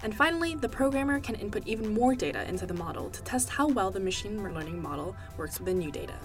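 Woman, first language Korean, animated scripted explainer read over soft music. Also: medium pitch, quick pace.

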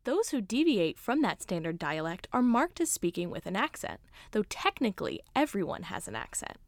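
A woman's voice: mid-range voice, very animated, moderate pace, dry recording, studio quality. A woman narrator reading a scripted explanatory piece in a documentary style.

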